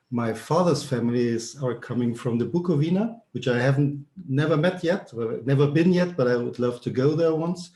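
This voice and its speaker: A man, speaking earnestly with halting grammar on a video call from home. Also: medium pitch, a little mellow, echoey room. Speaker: participant. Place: room at home.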